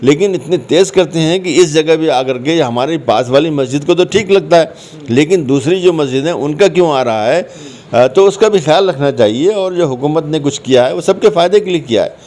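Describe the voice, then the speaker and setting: A man's voice: on the high side, expressive, fairly quick, darkish tone. Man explaining his view. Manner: explanatory, repeats phrases for emphasis. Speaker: interview guest, commentator. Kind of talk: conversational interview answers.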